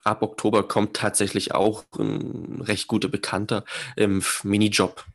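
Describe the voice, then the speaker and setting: A man's voice: on the low side, monotone, moderate pace, fairly bright tone. A man in his twenties answering interview questions about his business in an earnest, businesslike way. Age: 20s. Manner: earnest, businesslike, laughs at his own remarks. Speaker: young real estate business owner, guest.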